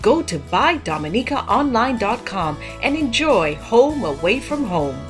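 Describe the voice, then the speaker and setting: A woman's voice: fairly high pitch, big pitch swings, measured pace. A woman announcer reading a scripted radio ad in a persuasive sales delivery. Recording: voice over clear music bed.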